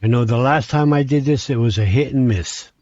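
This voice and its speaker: A man from Hungary, talking a train simulator through step by step, halting and restarting his sentences. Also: medium pitch, a little mellow, dry recording, lo-fi sound. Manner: halting, with frequent restarts, talks through each control step. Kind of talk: gameplay commentary.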